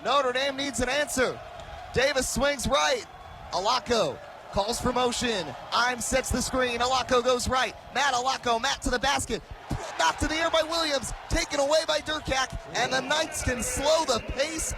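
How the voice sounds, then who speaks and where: A man in his early twenties doing live radio basketball play-by-play, with an emphatic, excited delivery that rises to shouts. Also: extremely high pitch, normal speed, gravelly, background noise. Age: early 20s. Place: courtside in a large arena.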